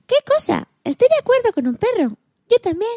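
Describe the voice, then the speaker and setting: Tiny squealing excitable cat. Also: female voice, very high-pitched, fairly quick, no room sound, clean studio sound. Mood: surprised. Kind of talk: radio theatre acting.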